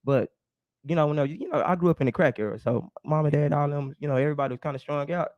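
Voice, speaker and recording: A man's voice: a little high, fast talker, dry recording, lo-fi sound. A man, hosting a casual podcast chat and telling stories in a laid-back, slangy way. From Dallas, Texas. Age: 40s. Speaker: show host.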